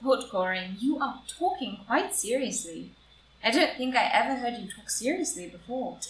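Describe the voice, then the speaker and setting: A woman playing an upright, devoted, morally strict society wife in a live reading of a stage comedy, in a formal, deliberate way. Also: on the high side, lively intonation, close to an everyday voice. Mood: puzzled. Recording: roomy, distant, noisy live group recording.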